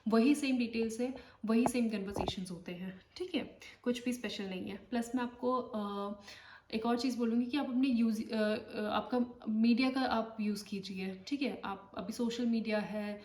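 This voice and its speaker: A woman's voice: a little high, normal speed, darkish tone, distant mic. A woman from India, a nurse, giving a casual spoken tutorial and often checking in with her listeners as she explains. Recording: echoey room, voice off-mic, faint noise.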